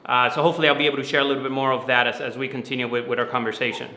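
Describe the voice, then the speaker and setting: A man's voice: mid-range voice, level tone, racing, bright, forceful tone. A man from the Dominican Republic who grew up in New York, giving earnest, explanatory interview answers and often repeating words as he goes. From Dominican Republic, moved to New York City at eleven. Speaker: guest, finance mentoring company founder. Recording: roomy, echoey sound.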